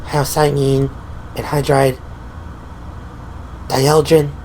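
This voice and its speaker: A man's voice: mid-range voice, level tone, extremely slow, a little mellow, pitched above the performer's own voice, low-quality recording. A man voicing an eager, clever young woman, a medic in an audio drama. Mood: muttering. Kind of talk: audio drama character acting. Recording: faint background noise.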